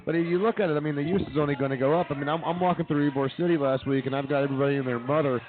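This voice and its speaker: A man's voice: fairly high pitch, steady tone, racing. A man co-hosting a comedy radio show in casual discussion, speaking emphatically and repeating phrases for effect. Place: live show at a brewing venue. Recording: phone-like thin audio with crowd chatter. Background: crowd chatter.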